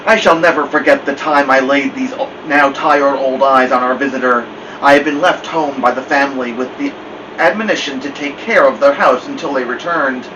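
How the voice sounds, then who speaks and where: A man's voice: mid-range voice, normal speed, natural voice. A man voicing a wry, dignified old family dog in first-person storybook narration, with formal, digressive wording. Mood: wry, reflective. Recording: muffled, distant, noisy room hum.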